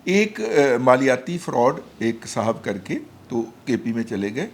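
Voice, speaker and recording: A man's voice: mid-range voice, very animated, slow pace. A man on a political talk panel, telling a personal story with rising animation. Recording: faint background noise.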